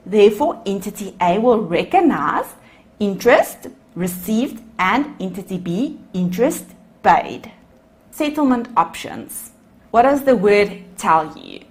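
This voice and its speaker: A South African woman lecturing in a slow, unhurried way, her pitch rising and falling a lot as she explains. She is a native Afrikaans speaker speaking English, talking straight to her students in a recorded voice-over for slides. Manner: explanatory, addresses students as guys. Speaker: accounting lecturer. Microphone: voice-over on screen recording.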